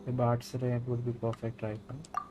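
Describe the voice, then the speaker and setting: A male game streamer, native in Hindi, reads character lines aloud in a mid-pitched voice. Game music plays clearly behind him. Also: completely flat delivery, measured pace. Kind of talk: reading game dialogue aloud on livestream.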